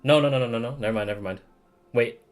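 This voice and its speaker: A man's voice: medium pitch, fast talker, soft background music. A man streaming a video game with casual, slangy, sweary reactions and muttered asides. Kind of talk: casual reacting commentary over gameplay.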